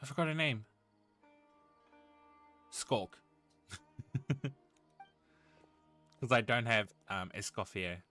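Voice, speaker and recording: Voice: medium pitch, animated, extremely slow, long pauses, pushed and bright. A streamer giving casual, giggly commentary while playing a game, with music playing behind. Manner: giggly, often laughing.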